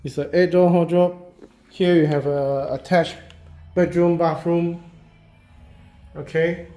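A man, a real estate agent walking through a property and talking casually, halting and repeating himself with many fillers as he goes. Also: a little high, fairly even intonation, warm tone, faint music behind. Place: walking through a house and yard, in and out of doors.

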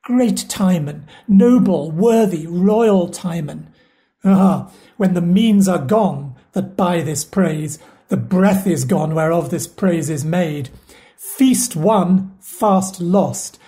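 A woman playing a loyal, sorrowful household steward in a Shakespeare audio play, speaking the verse in an earnest, measured way. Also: lowish voice, dark tone. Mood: lamenting.

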